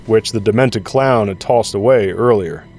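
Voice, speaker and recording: A man's voice: on the low side, normal speed, a little mellow. A man reading scripted dramatic narration for an audio drama. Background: music.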